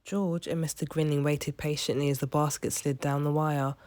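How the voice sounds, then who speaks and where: A woman's voice: sits low, little pitch movement, normal speed, a little mellow. A woman storyteller reading a children's picture book aloud as scripted narration.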